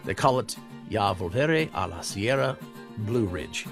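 A man's voice: lowish voice, animated, a little slow, over music. A man hosting a radio show, playful and jokey as he talks with guests and introduces segments.

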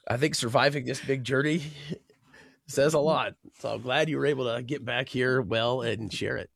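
A man's voice: medium pitch, normal speed, gravelly, a bit bright. A man hosting a radio show, playful and jokey as he talks with guests and introduces segments.

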